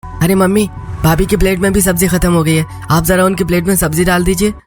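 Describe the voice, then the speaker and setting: Man playing young brother-in-law, polite, pleading, raised pitch, cartoon dialogue. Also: flat delivery, fast talker, soft background music, close to an everyday voice. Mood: concerned.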